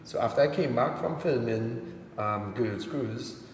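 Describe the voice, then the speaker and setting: A man from Trinidad and Tobago with a Trinidadian accent, chatting casually as an interview guest, relaxed and quick to laugh. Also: mid-range voice, vocal fry. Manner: relaxed, laughs easily, slows down to speak carefully. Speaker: entertainer guest. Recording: echoey room with long reverb, faint noise.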